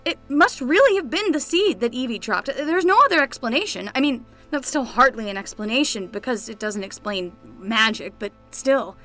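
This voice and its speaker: Young female nanny played by a woman, earnest and exasperated, high voice with lively swings. Conversational audio-drama delivery. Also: normal speed, hardly any pauses, faint music behind. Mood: alarmed, urgent. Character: earnest, exasperated young summer nanny, reluctant detective's assistant. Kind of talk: scripted fiction podcast acting.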